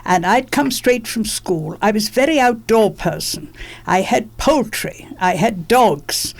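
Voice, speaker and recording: A woman's voice: mid-range voice, animated, unhurried, vocal fry. An elderly woman reminisces in an interview, stressing her points by repeating phrases. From England, born in London. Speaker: interviewee, former politician.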